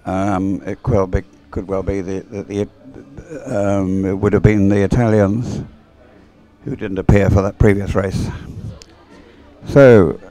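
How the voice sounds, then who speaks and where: Mellow, low-pitched male race commentator. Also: steady tone, takes it slowly. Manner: hesitant, informal, thinking aloud. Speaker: sports commentator. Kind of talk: live, unscripted race commentary.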